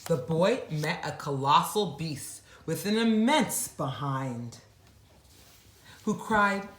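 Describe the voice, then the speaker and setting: A woman narrates a rhyming bedtime story in an expressive style. Her delivery is slow with very lively intonation. The room adds noticeable echo. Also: low voice.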